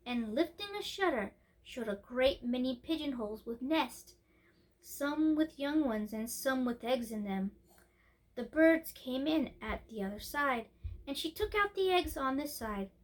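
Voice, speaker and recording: Woman narrating a storybook, pretty high voice, expressive storyteller style. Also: measured pace, rough recording.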